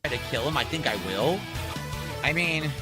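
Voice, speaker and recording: A man's voice: a little high, lively intonation, moderate pace, music in the background. A man streaming a game and ranting in frustration, swearing and begging viewers for support. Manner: pleading, frustrated, profane ranting. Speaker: gaming streamer. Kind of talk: live gameplay commentary.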